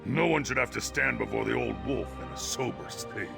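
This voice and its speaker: A man playing a boozy, irreverent Nord in a video game, talking in a wry, jovial, garrulous way with a gravelly, husky voice. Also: medium pitch, brisk. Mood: wry, teasing. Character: boozy, irreverent Nord man who solves everything with ale. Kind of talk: video game character dialogue. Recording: music behind the voice.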